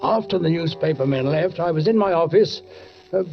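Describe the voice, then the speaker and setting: An actor playing a suspicious, deliberate police sergeant who narrates a murder case in a 1940s radio drama, with measured, pointed pauses. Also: male voice, on the high side, soft background music, close to an everyday voice. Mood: grim, ominous. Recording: dull 1940s radio recording.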